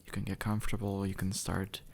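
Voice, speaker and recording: A man playing an easygoing, centuries-old demon who was once human, speaking gently and casually to the listener in an intimate audio roleplay. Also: low voice, completely flat delivery, brisk, creaky, breathy edge, full character voice. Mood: casual, teasing. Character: easygoing two-century-old male demon, formerly human, reassuring and teasing.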